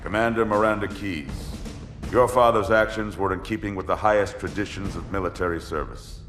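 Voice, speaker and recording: A man playing a deep-voiced stern fleet admiral. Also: brisk, soft background music, natural voice. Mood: solemn. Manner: commanding, clipped military orders. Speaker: fleet commander. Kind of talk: cinematic game cutscene acting. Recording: roomy mix with loud score.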